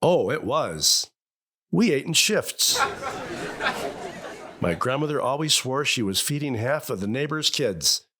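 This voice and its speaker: Sitcom father of old radio, middle-aged, lively swooping delivery, blustery yet sentimental. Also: mid-range voice, unhurried, pauses often, breathy edge, a faint crowd, exaggerated voice. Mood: nostalgic. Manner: blustering, hesitant when sincere. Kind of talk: old-time radio family comedy-drama acting.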